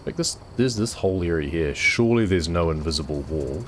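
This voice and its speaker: A man chatting casually as he streams a trucking game, his voice hoarse and nearly gone after a cold. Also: low voice, brisk, a little hiss or hum. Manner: relaxed, chatty, talks to himself while driving. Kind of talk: casual gameplay commentary.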